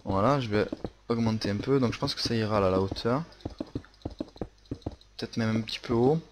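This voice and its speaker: A man's voice: on the low side, normal speed. A young man with a Marseille accent narrating a building tutorial casually, hesitant with many fillers and restarts. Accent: Marseille French. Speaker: Minecraft building tutorial host. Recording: faint noise and clicks.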